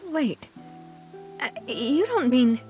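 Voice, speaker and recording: High-voiced, spirited young lady. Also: sing-song, slow pace, darkish tone, soft background music, heavily acted. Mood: pensive. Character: spirited young lady, proud, determined head of an organization. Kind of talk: video game cutscene acting.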